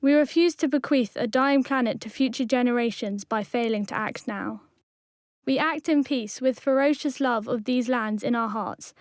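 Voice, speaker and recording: A woman reading a scripted activist declaration, solemn, measured and formal. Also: fairly high pitch, no echo, clean studio sound. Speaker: activist reader.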